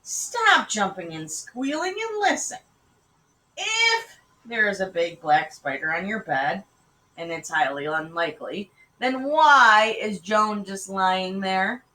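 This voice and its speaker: A woman's voice: medium pitch, pushed and bright, rough recording. A woman voicing a mild, grumbling father of young twins in a children's storybook, mock-stern and complaining. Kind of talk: children's storybook read aloud.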